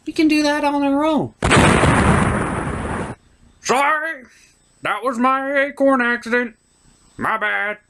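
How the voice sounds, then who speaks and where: A man voicing an excitable, accident-prone squirrel puppet sidekick who blurts things out in a comedy skit. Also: very high-pitched, slow, pauses often, very noisy, voice pushed up, exaggerated voice. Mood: defensive.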